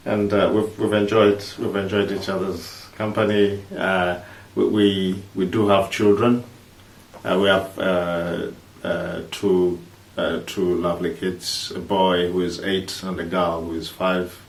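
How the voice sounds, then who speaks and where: A man, co-hosting a vlog in halting, casual chat. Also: deep voice, little pitch movement, creaky. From East Africa, born in Kenya, Ugandan. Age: 30s. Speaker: vlog host. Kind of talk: casual couple chat to camera. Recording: roomy, distant mic, faint noise.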